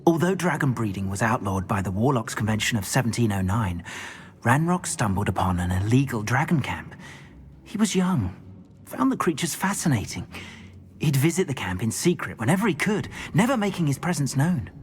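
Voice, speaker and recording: An actor playing a captive wizard who pleads for his wand and then slowly tells a sad tale. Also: mid-range voice, sing-song, lightly acted. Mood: storytelling. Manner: pleading, then an unhurried storyteller. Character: captive older wizard, earnest and grateful. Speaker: rescued prisoner.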